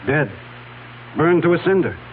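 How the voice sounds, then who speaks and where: An actor playing a stern, methodical lawman in a 1970s radio drama, speaking in measured, accusatory phrases. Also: fairly high pitch, big pitch swings. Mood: dry, probing. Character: stern, methodical lawman confronting his best friend. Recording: narrowband old radio broadcast, faint hiss.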